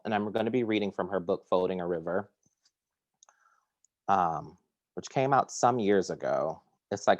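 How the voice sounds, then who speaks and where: A man reading poems aloud at a virtual poetry reading from his home, measured and deliberate, introducing each piece with hesitant fillers. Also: sits low. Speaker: poet reading at a virtual series. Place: home kitchen or similar domestic room. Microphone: video call.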